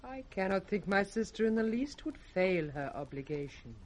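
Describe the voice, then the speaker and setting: An actress playing a king's composed, cold grown daughter in radio Shakespeare, formal and measured. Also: medium pitch, big pitch swings, darkish tone, a little hiss or hum, close to an everyday voice. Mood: cool reproof. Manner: measured, formal, coolly reasoning. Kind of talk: 1960s British radio Shakespeare acting.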